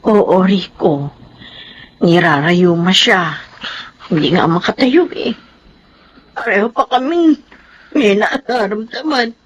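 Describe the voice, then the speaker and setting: A woman's voice: medium pitch, slow pace, long pauses, breathy edge, lo-fi sound. A woman playing a sickly, forlorn elderly mother in a Filipino radio drama. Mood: weary, forlorn.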